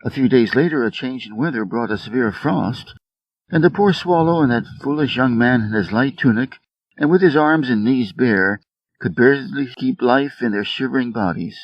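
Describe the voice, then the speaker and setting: A man giving a measured, scripted reading of short fables for English learners, enunciating each phrase. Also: mid-range voice, dry recording, muffled recording. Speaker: story reader.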